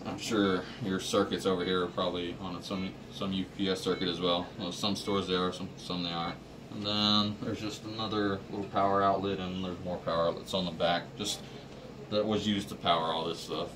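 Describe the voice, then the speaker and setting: A man's voice: deep voice, little pitch movement, brisk. A man giving an informal, practical step-by-step walkthrough of equipment, as an installer would to staff. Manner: plain, practical, explaining step by step. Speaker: installer explaining a system to staff. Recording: noisy room, distant microphone.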